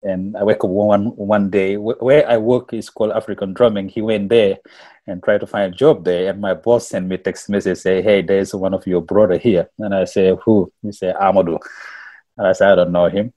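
Mellow-voiced Senegalese man, halting and laughing. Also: deep voice, steady tone. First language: Wolof. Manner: halting, repeats words, laughs often. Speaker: musician guest. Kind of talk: casual interview storytelling.

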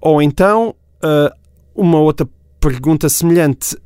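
A man playing an earnest history podcast host, deadpan and mock-grave. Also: a little high, measured pace, clear, resonant tone, no echo, studio quality, natural voice.

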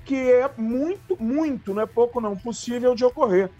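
A man gives casual football opinions on a podcast. His voice is unusually high and rough-edged, with soft music underneath. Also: normal speed, muffled recording.

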